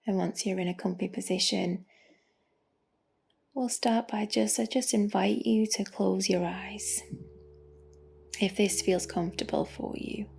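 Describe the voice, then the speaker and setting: A woman leads a guided meditation gently and soothingly, in a soft, breathy voice that often drops close to a whisper. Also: mid-range voice, fairly even intonation. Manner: gentle, unhurried, with long pauses. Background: music.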